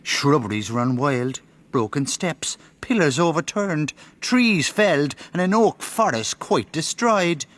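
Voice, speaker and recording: An actor playing an Irish country storyteller, narrating a period radio drama in a lyrical, colloquial way. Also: male voice, fairly high pitch, lively intonation, slow, few pauses, fairly bright tone. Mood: vivid, storytelling. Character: Irish peasant storyteller, wry and loyal brother.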